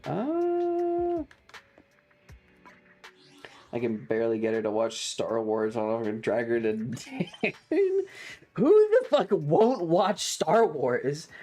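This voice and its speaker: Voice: medium pitch, wildly swooping pitch, takes it slowly, dark tone. A streamer chatting casually while playing a game, with a sarcastic, profane manner. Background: music.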